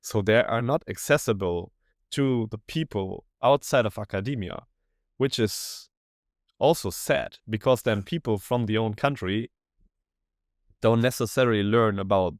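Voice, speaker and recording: A man's voice: fairly low pitch, dry recording, clean studio sound. A young German man whose first language is German, talking as a podcast guest in casual conversation, hesitant and full of restarts as he thinks aloud. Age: young adult. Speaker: guest researcher.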